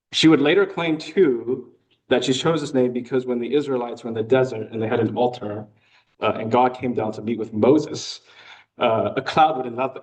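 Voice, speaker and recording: A young American man giving a casual personal talk with slides to a club meeting, relaxed and chatty with frequent fillers. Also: mid-range voice, distant mic, rough recording. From United States. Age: young adult. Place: meeting room.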